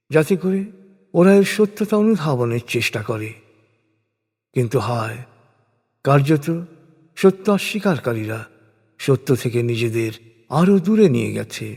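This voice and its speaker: A man reads translated scripture as a scripted narrator, in a solemn style with measured phrases and deliberate pauses. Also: fairly high pitch, a little mellow. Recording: long reverberant hall echo.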